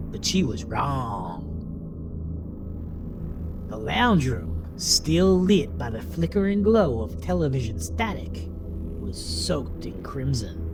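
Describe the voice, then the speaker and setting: A man narrating a scripted horror story in a dramatic, deliberate, suspenseful manner. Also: medium pitch. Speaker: audiobook-style narrator. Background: music under the voice.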